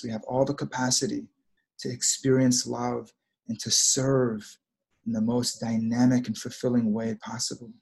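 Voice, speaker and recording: Casting: husky man, soft-spoken spiritual guide, reflective and repetitive. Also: mid-range voice. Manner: gentle, reflective, repeats phrases. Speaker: prayer leader. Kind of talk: spiritual chat and prayer.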